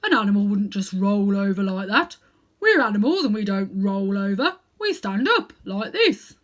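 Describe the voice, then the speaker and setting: A woman voicing an earnest bear in a storybook, slow and plodding. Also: mid-range voice, very animated, close to an everyday voice.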